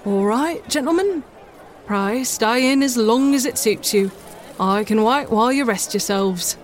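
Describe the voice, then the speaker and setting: A woman's voice: on the high side, unhurried, natural voice. A kindly, plain-spoken London cab driver from a storybook, voiced by a woman narrator, firm and reassuring. There is some noise behind the voice. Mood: stern, wry.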